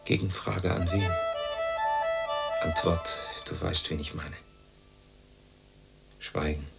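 Reticent East German newcomer, very low, gravelly, terse, radio drama with music. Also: male voice, fairly even intonation, takes it slowly, exaggerated voice. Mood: brooding, subdued. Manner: terse, brooding. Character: brooding, reticent East German man in the West. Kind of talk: German radio play acting.

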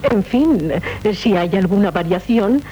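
An actress playing an imperious, gracious young Castilian princess in a radio drama, regal and assured, with a Castilian Spanish accent. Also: female voice, mid-range voice, animated, measured pace, dark tone, natural voice. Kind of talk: 1970s radio drama acting. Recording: dull, noisy 1970s radio recording.

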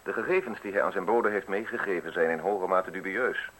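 An actor playing a suave, menacing justice official in a radio drama, speaking in measured, formal, insinuating phrases. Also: male voice, deep voice, fairly bright tone, a little hiss or hum, poor audio. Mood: quietly threatening. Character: suave, menacing male state justice official offering a deal.

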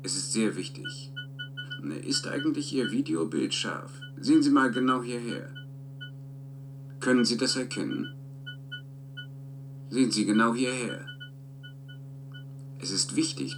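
An actor playing an earnest, analytical scientist in a science fiction radio play, speaking in a deliberate, precise, insistent manner. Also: male voice, on the low side, noisy background, full character voice. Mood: urgent, commanding.

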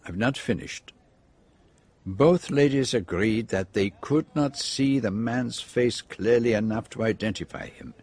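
An actor in a radio drama playing a methodical male detective who sets out a case with deliberate precision and dramatic pauses. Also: mid-range voice, a little mellow, husky. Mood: measured, explanatory. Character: methodical, theatrical male detective revealing a murder.

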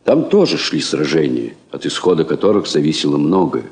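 A man's voice: extremely low pitch, sing-song, a little fast, creaky, darkish tone. A man narrating a radio drama from a script in a solemn, dramatic style. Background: faint music.

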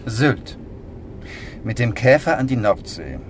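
An actor playing a brooding, self-doubting male novelist in a German radio drama, speaking in a measured, literary way. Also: mid-range voice, very animated, a little hiss or hum. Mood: wistful.

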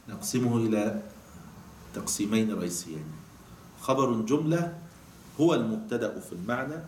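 A man with an Egyptian Arabic accent teaching a classical grammar text. He explains patiently, reads quotations slowly and answers his own questions. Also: medium pitch, a little mellow. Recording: echoey room, voice from a distance, faint noise.

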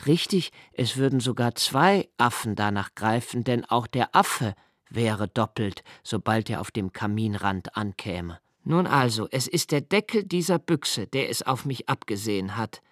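Brooding, precise solitary lodger, dry close sound. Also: male voice, fairly low pitch, no echo. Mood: musing, absorbed. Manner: deliberate, precise, halting self-corrections. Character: brooding, obsessively observant solitary male lodger, musing alone. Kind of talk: literary radio play monologue in German.

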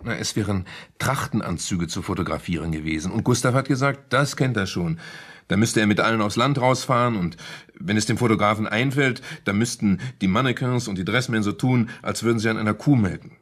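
An actor playing a man in a German radio play who haltingly retells a crime novel, often correcting himself. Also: fairly low pitch, a bit bright, no room sound, studio quality. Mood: earnest retelling. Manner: halting, self-correcting storyteller.

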